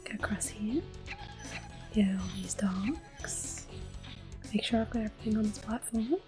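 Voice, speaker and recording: Woman, hushed and breathy, medium pitch, ASMR gaming host, gentle unhurried delivery, music playing behind. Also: fairly even intonation. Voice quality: soft, breathy, whispery. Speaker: gameplay video host. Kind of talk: soft-spoken ASMR game commentary with whispering.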